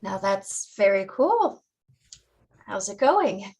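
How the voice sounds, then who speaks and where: An unhurried, mid-pitched voice: a woman playing a mother in a family drama reading. She is patient and caring, explaining things in a deliberate, reassuring way. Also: big pitch swings, darkish tone, husky, exaggerated voice. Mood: encouraging.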